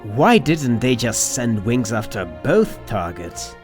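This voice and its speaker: Male pilot, acted by a man, wry, questioning, sci-fi radio play, clear background music. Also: medium pitch, very animated, slow pace. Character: wry male fighter pilot, teasing peacemaker. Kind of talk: sci-fi audio drama acting.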